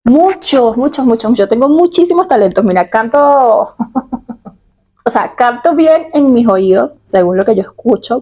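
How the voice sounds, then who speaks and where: A woman's voice: a little high, dark tone. A woman from Venezuela with a Caracas accent, chatting casually and confidingly about herself in a personal vlog, stretching words for emphasis. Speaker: vlogger answering personal questions.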